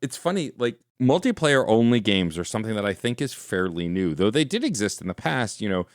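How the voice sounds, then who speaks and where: A man's voice: on the low side, big pitch swings, brisk, no room sound, clean studio sound. A man co-hosting a gaming podcast, enthusiastic and rambling, full of "like"s and laughs. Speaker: podcast co-host. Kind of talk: casual podcast chat.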